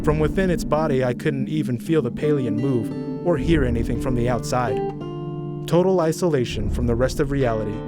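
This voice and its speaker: A young man reading a first-person audiobook story in a slow, measured, brooding way. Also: medium pitch. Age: young adult. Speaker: narrator.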